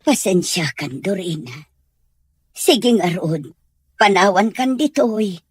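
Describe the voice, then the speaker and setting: Husky, meek schoolgirl, voiced by a woman. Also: mid-range voice, animated, slow. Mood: hurt, apologetic. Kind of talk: Ilocano audio drama acting.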